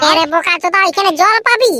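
An actor voicing a bossy, scolding young village labourer in a comedy cartoon, loud and crude, with a pitched-up effect. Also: fast. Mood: impatient, bossy. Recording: noisy recording.

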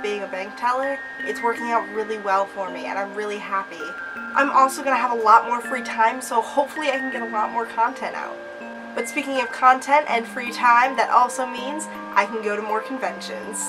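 A woman's voice: on the high side, far from the mic, rough recording. A woman in her twenties chatting casually to her viewers in a vlog, with a chatty, emphatic manner and a voice slightly off from a cold. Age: 20s. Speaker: vlogger, channel host. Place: small cluttered room at home.

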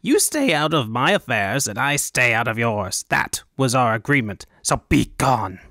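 A man's voice: medium pitch, sing-song, unhurried, pushed and bright, no room sound, clean studio sound, full character voice. A man voicing a pompous, short-tempered fat governor in an audiobook, squealing and blustering with heavy emphasis. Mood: indignant.

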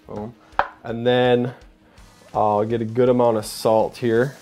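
A man's voice: flat delivery, slow, music in the background. Upbeat young man hosting a home cooking demo. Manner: upbeat, chatty, says "boom" while working. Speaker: cooking show host. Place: home kitchen, indoors.

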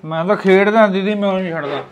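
A man's voice: high voice, a little hiss or hum, close to an everyday voice. A man doing a whiny childlike grown man. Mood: sulky. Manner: sulky, slow, childish pleading.

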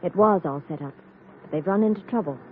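An actress playing a cool, knowing undercover contact, composed, in an old radio adventure. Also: female voice, lowish voice, quick pace, lightly acted. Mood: cool, businesslike. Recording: muffled, noisy old radio recording.

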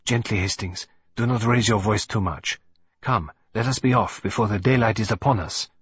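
A man's voice: on the low side, moderate pace, fairly bright tone, husky. A man voicing a fussy, vain middle-aged male detective who speaks in a precise, theatrical, self-assured way. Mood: composed.

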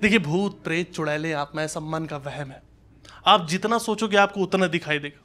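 A man's voice: fairly high pitch, moderate pace, pushed and bright. A man, telling colloquial stand-up stories and acting out dialogue on a handheld mic. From Uttar Pradesh, India. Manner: conversational, colloquial, acts out dialogue in anecdotes. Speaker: stand-up comedian. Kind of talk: live observational stand-up storytelling. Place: small comedy club stage, indoors. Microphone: handheld stage microphone. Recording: live club recording with audience noise. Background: audience laughter and noise.

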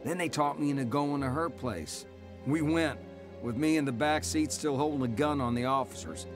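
A man's voice: mid-range voice, moderate pace, music in the background, close to an everyday voice. An actor playing a man who reflectively narrates his own past in a radio drama. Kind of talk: first-person dramatised narration.

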